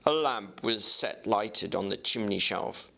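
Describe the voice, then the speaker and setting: A man narrating classic fiction in a theatrical, expressive way, as a dramatic reading. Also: fairly low pitch, a little slow, creaky.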